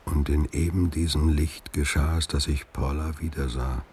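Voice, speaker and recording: An actor playing a wistful man recalling an old love, in measured, reflective, literary radio drama narration with a very deep gravelly voice. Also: fairly even intonation, very noisy.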